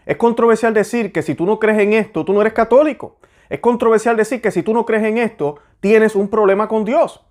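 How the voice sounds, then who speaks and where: A man's voice: high-pitched, brisk, darkish tone. Earnest man hosting a faith show. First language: Spanish. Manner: earnest, conversational, with many fillers. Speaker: host. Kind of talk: religious commentary and prayer.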